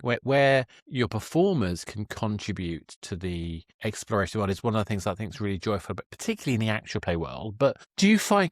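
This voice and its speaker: A man's voice: medium pitch, no room sound, studio quality. A man hosting a conversational podcast interview, thoughtful and wordy as he searches for his phrasing. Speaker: podcast host interviewing a guest.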